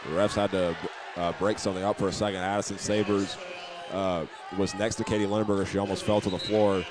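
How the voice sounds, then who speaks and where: A man giving steady, methodical live play-by-play commentary of a high school basketball game. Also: brisk, a crowd in the background. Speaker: play-by-play announcer. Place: indoor school basketball gym. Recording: live gym broadcast with clear background noise.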